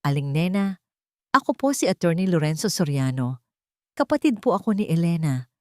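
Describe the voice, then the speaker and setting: A woman's voice: lowish voice, very animated, moderate pace, warm tone, dry recording. A woman narrator plays an earnest, insistent Filipino lawyer, a protective brother in an audio story. The delivery is close and dry, with no room sound. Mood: polite probing.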